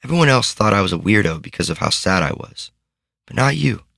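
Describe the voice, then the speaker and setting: A man voicing a kind, loyal young girl who speaks to her friend in a warm, heartfelt way. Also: lowish voice, very animated, brisk, gravelly, dry recording, studio quality, voice pushed up, exaggerated voice.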